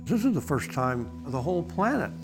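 Male speaker, creaky medium-pitched voice, halting delivery under very loud music. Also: very animated. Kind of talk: halting remarks.

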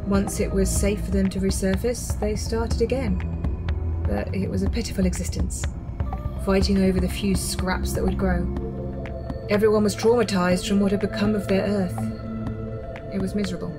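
A woman's voice: mid-range voice, flat delivery, moderate pace, close to an everyday voice. Radio drama rebel played by a woman: grim, earnest ex-insider, emphatic delivery, mid-range female voice over prominent music. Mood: grave. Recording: music bed under the voice.

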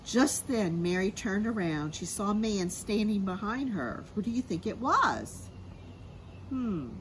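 A middle-aged woman tells a children's story like a preschool teacher, in simple, expressive phrases. Her voice sits at an average pitch and moves up and down in a lively way. She is recorded outdoors, with faint background noise. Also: unhurried, low-quality recording. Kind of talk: reading a Bible story aloud to young children. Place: outdoors in a sunny grassy backyard. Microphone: camera a short distance away.

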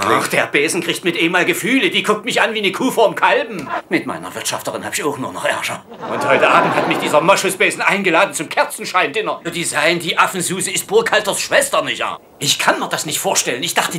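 A sharp, high-voiced middle-aged camp commandant blusters through a comedy dub. He is pompous and exasperated, swinging wildly in pitch as he whines and rants theatrically. There is faint noise on the TV audio. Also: exaggerated voice. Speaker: dubbing actor in a TV sitcom. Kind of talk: comic sitcom dub, slangy ranting.

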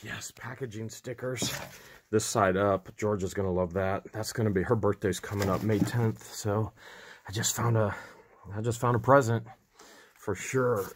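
A middle-aged man giving excited running commentary as he explores a big cluttered warehouse, filmed on a handheld camera. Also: lowish voice, moderate pace, background noise. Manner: enthusiastic, exclaims often, thinks aloud. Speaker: vlogger picking for resale. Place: large cluttered indoor warehouse.

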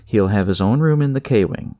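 A man voicing a calm, reassuring hospital doctor in a story reading, firm and explanatory. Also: lowish voice, moderate pace, no echo, clean studio sound, lightly acted.

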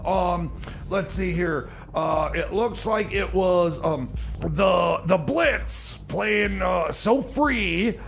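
A man playing a flustered, grumbling radio DJ, halting and repeating himself in rambling comedy banter. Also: sits high, gravelly, fairly bright tone, heavily acted, rough recording. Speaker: radio DJ and host.

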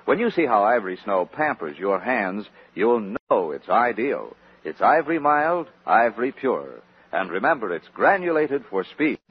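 Husky, animated American radio pitchman. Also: normal speed, no echo. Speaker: commercial announcer. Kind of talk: 1940s radio advertisement, scripted. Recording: dull old radio recording.

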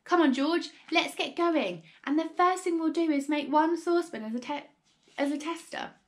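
A woman voicing an excitable, impatient father in a storybook, urgent and insistent, full of grand schemes. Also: fairly high pitch, fairly quick. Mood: urgent, bossy. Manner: breathless exclamations, urgent and insistent.

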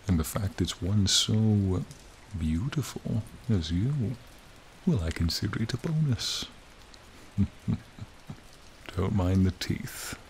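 A man plays a frost dragon with a gravelly, breathy voice that often drops to a whisper. He speaks very slowly and with courtly charm, both menacing and friendly, in an ASMR roleplay. Rainy ambience runs behind him. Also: fairly low pitch. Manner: slow, courtly, deliberate. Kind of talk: ASMR roleplay, dramatic monologue. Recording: steady rain-like ambient noise.

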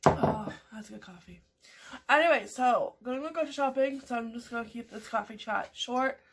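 A woman's voice: fairly high pitch, normal speed, fairly bright tone, breathy edge. A woman in her thirties from Canada, chatting casually to her webcam over morning coffee at home, rambling with lots of fillers and restarts. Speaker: vlogger. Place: small home room indoors.

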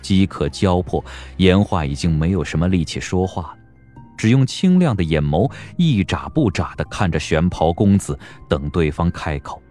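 A man narrating a period audio drama in a measured, literary storytelling style. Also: low voice, expressive, warm tone, faint music behind.

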